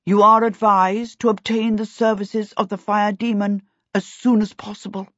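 A woman voicing a tall, calm male elf who speaks in a measured, precise and coolly polite way. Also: medium pitch, no echo, voice pushed up, lightly acted. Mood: calm formal. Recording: dull, dry sound.

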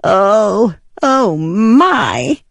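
Woman as a timid, creaky little male spirit. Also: mid-range voice, very animated, very slow, no room sound, studio quality, voice pushed up, heavily acted. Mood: awed. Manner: fretful, fawning. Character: timid, excitable little male plant spirit, an obsequious harbour clerk.

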